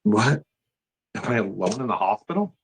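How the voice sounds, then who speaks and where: A man's voice: on the low side, lively intonation, moderate pace, breathy edge, heavily acted, low-quality recording. A man playing an everyday male hospital doctor, mildly irritable, in a table read of a screenplay. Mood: uneasy.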